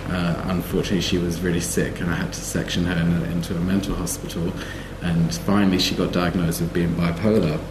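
A man with a deep voice shares a personal account as a panelist. He speaks somewhat haltingly. The room rings around him and there is clear background noise. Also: level tone, echoey room. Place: live festival panel event.

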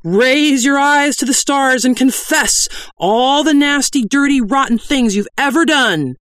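A woman's voice: sits high, unhurried, fairly bright tone, dry recording, voice pushed up. A woman voicing a bold young warrior posing as a stern priest in a fantasy audiobook, declaiming and scolding haughtily. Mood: commanding, scolding. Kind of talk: fantasy audiobook character acting.

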